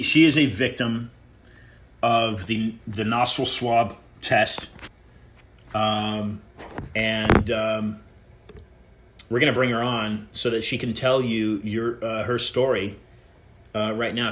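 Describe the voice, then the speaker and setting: Halting male podcast host. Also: lowish voice, little pitch movement, a bit bright, a little hiss or hum. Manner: halting, full of restarts and fillers. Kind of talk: hesitant live show hosting.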